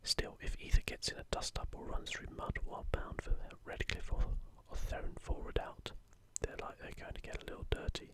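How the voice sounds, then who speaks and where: Hushed, breathy ASMR whisper. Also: fairly quick, hardly any pauses. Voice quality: soft, breathy unvoiced whisper. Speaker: video host. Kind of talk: whispered ASMR, leafing through and commenting on an art book.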